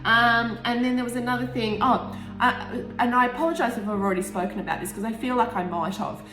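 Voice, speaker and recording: A woman's voice: fairly high pitch, a little fast. A woman in her forties with an Australian accent, chatting casually and candidly as an author reflecting on her own writing, often rambling and interrupting herself. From Tasmania, Australia. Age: 40s. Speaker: author reading and discussing own book. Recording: roomy echo, music behind. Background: music.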